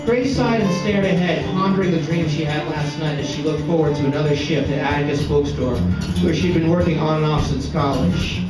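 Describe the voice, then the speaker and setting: A man giving a live reading of fiction, occasionally stumbling over and repeating words. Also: on the high side. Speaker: author reading his novel aloud. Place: art gallery, live event. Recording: distant mic, very echoey room, loud guitar music. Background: loud plucked guitar music.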